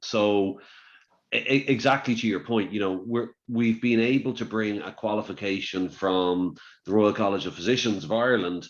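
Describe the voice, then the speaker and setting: Guy hosting a webinar, lowish voice, repeats himself a lot, dull call audio. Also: moderate pace. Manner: chatty, repeats words, says "you know". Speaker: webinar host. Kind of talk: webinar interview. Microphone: video call.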